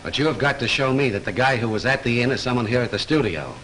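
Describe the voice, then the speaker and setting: An actor as a wry skeptical police lieutenant. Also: male voice, medium pitch, expressive, fast, a bit bright, lightly acted, rough recording. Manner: skeptical, dryly teasing. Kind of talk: 1930s film acting.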